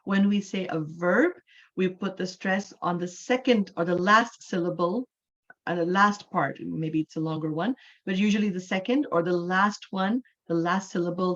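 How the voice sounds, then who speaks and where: A woman in her forties from Canada teaching an English class online. She explains patiently, slowing down and repeating key words for emphasis. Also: lowish voice, muffled recording.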